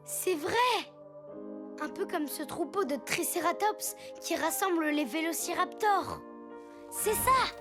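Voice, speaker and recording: A woman's voice: very high-pitched, animated, slow pace, full character voice. A woman voicing an eager, headstrong young superhero girl in a children's cartoon dub, speaking in an emphatic, earnest way. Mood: rallying. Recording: loud music bed behind.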